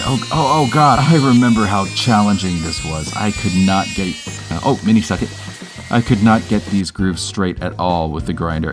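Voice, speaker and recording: A man hosting a do-it-yourself video with casual, wry, self-deprecating voice-over narration. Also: deep voice.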